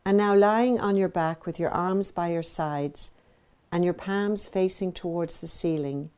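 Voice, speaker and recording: A woman with a soft, very mellow voice, set a little low for a woman, leads a guided meditation. She speaks as a teacher, gently and evenly measured. Her phrases are separated by soothing pauses. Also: clear, resonant tone.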